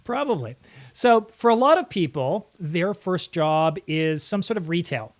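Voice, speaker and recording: A middle-aged man hosting a comedy show, talking casually and telling anecdotes in an animated way. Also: fairly high pitch, measured pace. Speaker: host of a live comedy film-riffing show. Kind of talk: casual chat, anecdotal storytelling.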